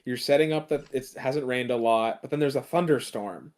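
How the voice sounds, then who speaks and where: Podcast panelist, medium pitch, slangy, joking, casual chat. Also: moderate pace, a little mellow.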